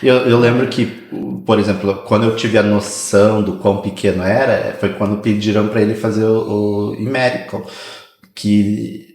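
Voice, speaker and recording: A Brazilian man chatting casually as a podcast guest, speaking haltingly and dropping in English words. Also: lowish voice, little pitch movement, darkish tone. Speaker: guest immigration consultant. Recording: very echoey room.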